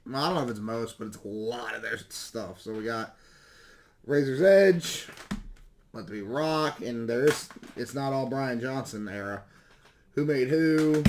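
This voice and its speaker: A man with a slightly hoarse voice chats casually and ramblingly as a video host showing off recent pickups. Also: mid-range voice, a little hiss or hum. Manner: rambling, self-correcting, mild swearing. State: slightly hoarse, losing his voice. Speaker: YouTube video game collecting host.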